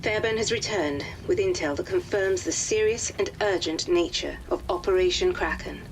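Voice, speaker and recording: A woman's voice: fairly low pitch, moderate pace, slight background noise, lightly acted, rough recording. A woman playing a businesslike wartime intelligence officer reading formal reports in a video game. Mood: formal, urgent.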